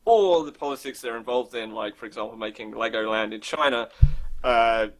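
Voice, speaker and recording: A man's voice: medium pitch, normal speed, poor audio. A man in a casual, opinionated discussion as a podcast host, thinking aloud in a rambling, informal way and acting out imagined dialogue.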